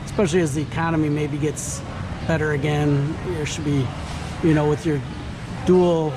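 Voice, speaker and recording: Older male host, friendly and jokey, slightly high voice, traffic noise audible. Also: level tone, moderate pace. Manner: friendly, jokey, repeats "right" and "okay". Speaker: host, interviewer. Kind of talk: casual interview chat. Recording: outdoor street noise.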